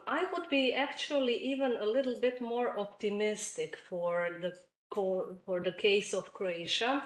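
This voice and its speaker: Slow-spoken woman professor in an echoey room. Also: medium pitch. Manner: measured, careful, with fillers. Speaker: professor and webinar mentor. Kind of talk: academic answer.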